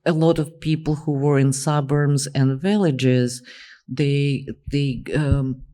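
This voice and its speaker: A middle-aged man from Ukraine with a Ukrainian accent, a native Russian speaker, answering on a panel in slow, halting English full of fillers. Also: mid-range voice, fairly even intonation, dark tone.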